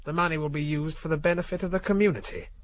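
An actor playing a smug, prickly idealist in a radio drama, cool, precise and self-righteous. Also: male voice, fairly high pitch, steady tone, quick pace, lightly acted. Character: smug, prickly political idealist under questioning. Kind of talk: British radio drama acting.

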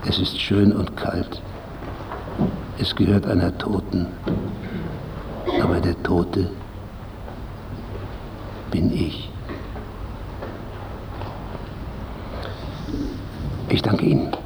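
An actor playing a vain, menacing writer in a radio play, pompous and contemptuous, his gravelly voice often sinking toward a whisper. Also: sits low, little pitch movement, background noise, full character voice. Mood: solemn, brooding. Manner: pompous, deliberate, philosophizing.

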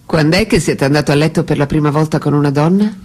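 Radio play: longtime lover, teasing and inquisitive, husky voice, frank questioning. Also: female voice, low voice, fast, darkish tone. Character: French intellectual woman, probing, ironic longtime lover questioning her man. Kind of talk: radio drama acting, interview-like dialogue.

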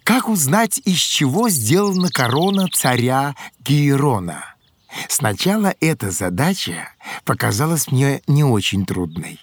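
An excitable ancient Greek inventor in a children's audio drama, speaks slowly with big swings of pitch. He explains things with good-humoured care over clearly noisy background sound. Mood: eagerly explaining. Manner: exclamatory, deliberate explaining.

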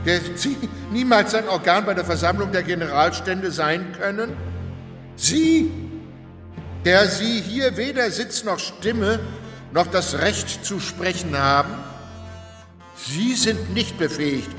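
Radio drama: fiery revolutionary deputy, haughty, bright forceful high voice, sweeping delivery. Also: male voice, lively intonation, slow, full character voice. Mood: indignant, scornful. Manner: theatrical, emphatic oratory. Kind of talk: German radio play acting, declamatory speech. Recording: echoey large hall, music underneath.

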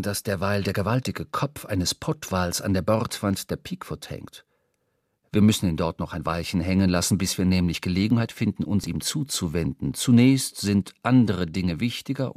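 A man's voice: on the low side, no room sound. Male radio-drama narrator, close and dry. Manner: expressive storyteller.

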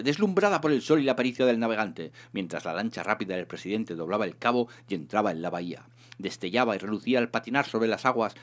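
A man with a fairly low voice reads a comic science fiction story aloud. His intonation moves up and down a great deal, giving the scripted narration an expressive, dramatic storytelling feel. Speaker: audiobook reader, narrator.

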